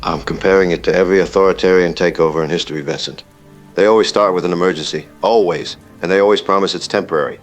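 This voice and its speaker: An actor as a male military veteran on a call-in show. His voice is low, grave and resolute, with faint music underneath in this radio drama. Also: fairly even intonation, quick pace, close to an everyday voice. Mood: grave, emphatic.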